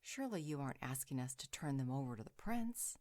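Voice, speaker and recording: A woman playing a calm, motherly, protective character in a roleplay audio drama, measured and inquisitive. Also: very deep voice. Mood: thoughtful, concerned.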